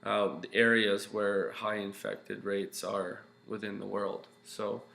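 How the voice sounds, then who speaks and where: A man's voice: fairly low pitch, little pitch movement, takes it slowly, creaky, pushed and bright. A young man with a congested, nasal voice, giving a recorded slideshow lecture as a teacher and talking loosely with lots of fillers and "okay"s. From Canada. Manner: loose, explaining with many fillers and okays. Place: small room at home, indoors. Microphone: webcam. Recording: echoey room with faint hum.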